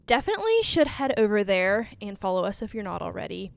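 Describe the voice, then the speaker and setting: A woman's voice: mid-range voice, sing-song, fast talker, gravelly, dry recording. A young woman co-hosting a casual podcast, chatting freely with many fillers. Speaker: podcast co-host. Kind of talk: casual chat.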